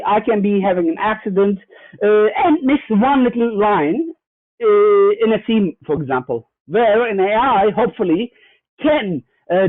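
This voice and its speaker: A man's voice: sits high, no room sound. A man from Germany, a guest cybersecurity executive in a fireside chat, explaining with examples in an earnest way full of hesitant fillers and restarts. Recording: tinny phone-like remote line, dry.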